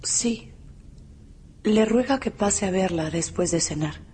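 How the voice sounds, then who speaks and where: A mysterious young woman in radio drama. She is courteous and restrained, speaking very slowly and formally over faint background noise. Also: medium pitch, lively intonation, warm tone. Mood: reserved, courteous.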